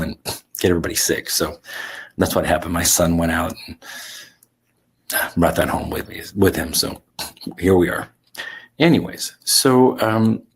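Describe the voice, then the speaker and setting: Gravelly low-voiced man, congested. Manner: relaxed, reflective, with many fillers and restarts. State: sick, congested with sore throat. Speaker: sleep coach. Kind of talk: solo talk to camera.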